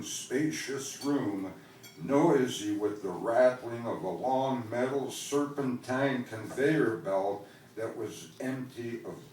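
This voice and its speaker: A man from Canada reading literary prose aloud at a live event, in measured, deliberate phrases with pauses. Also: mid-range voice, darkish tone. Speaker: author reading his own work. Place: indoor event venue, recorded from the audience. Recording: distant, echoey live-venue recording with faint noise.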